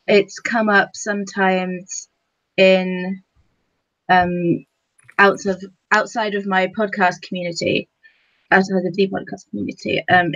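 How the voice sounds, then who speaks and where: A woman speaking as a podcast panelist in a casual discussion, with a halting, thoughtful delivery. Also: mid-range voice, a bit bright, no echo, highs cut off.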